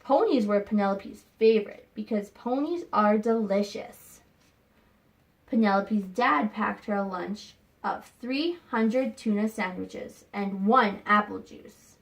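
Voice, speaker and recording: A woman teacher reading a picture book aloud to young students, expressive and pausing to think aloud with questions for them. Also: mid-range voice, gravelly, rough recording. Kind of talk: read-aloud lesson with questions to children.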